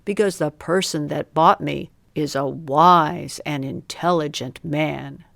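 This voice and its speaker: A woman voicing a cunning, smooth-talking male thief in a storybook tale, sly and deliberate. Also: sits low, fairly bright tone, no echo, full character voice. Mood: falsely meek.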